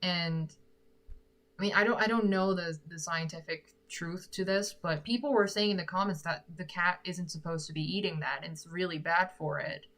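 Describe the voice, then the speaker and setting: Giggly young woman, low-pitched. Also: moderate pace, a bit bright. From Korea. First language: Korean. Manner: giggly and rambling, thinking aloud. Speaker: singer-songwriter chatting with viewers. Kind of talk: casual chat answering live comments.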